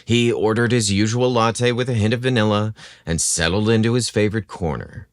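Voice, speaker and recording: A man reading a scripted romance story as a podcast narrator, in a dramatic, expressive storytelling manner. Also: lowish voice, brisk, dry recording, studio quality.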